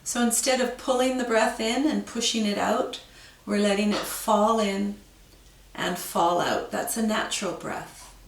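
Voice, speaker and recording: Slow calm woman guiding meditation. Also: medium pitch, echoey room, distant mic. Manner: slow, calm, soothing instructions with long pauses. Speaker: yoga teacher. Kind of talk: guided breathing meditation.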